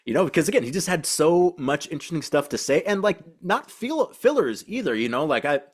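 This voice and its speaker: A man hosting a sports podcast, reflecting casually in a halting, filler-heavy, rambling way. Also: fairly high pitch, sing-song, husky. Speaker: sports podcast host, team reporter.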